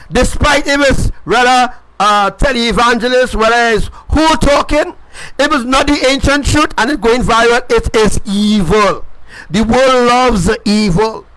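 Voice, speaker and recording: A man with a very high voice delivers a live-streamed sermon straight to camera. He is emphatic and repetitive, driving each phrase home again and again and calling his viewers folks. A faint background noise sits under his voice. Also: fairly bright tone, rough recording. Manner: addresses viewers directly as folks. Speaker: self-styled preacher.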